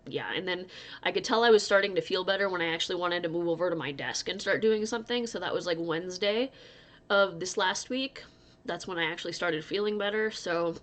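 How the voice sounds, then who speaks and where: A woman's voice: lowish voice, fairly bright tone. Chatty sniffly woman vlogging from home. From North Dakota. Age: adult, married. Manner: rambling, chatty, with frequent restarts and laughs. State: stuffy and recovering from illness. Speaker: crochet vlogger. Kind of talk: casual chat to viewers.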